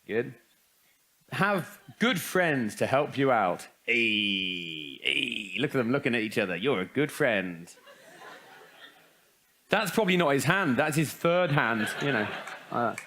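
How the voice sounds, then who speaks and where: A man's voice: a little high, fairly bright tone. A man giving a humorous talk at a youth conference, full of wry jokes and rhetorical questions. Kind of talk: humorous talk to young people.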